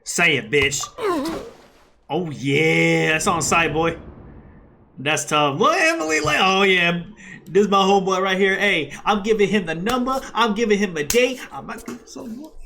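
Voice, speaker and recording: A man's voice: high voice, lively intonation, measured pace, creaky, sharp tone, a little hiss or hum. A man giving casual, slangy and profane reaction commentary while playing a game. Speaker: gamer reacting to gameplay.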